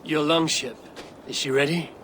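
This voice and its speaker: An actor playing a resolute, commanding Viking war leader in a cinematic video game, speaking in terse declarations. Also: male voice, fairly high pitch, steady tone, moderate pace, sharp tone, a little hiss or hum. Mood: grim resolve.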